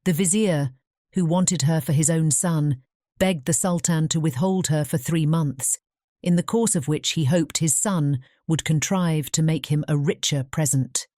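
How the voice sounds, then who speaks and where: A woman reads a scripted storybook in a measured, formal way. Her voice sits very low and has a dark, mellow tone. The recording is close and dry. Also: no room sound. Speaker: audiobook narrator.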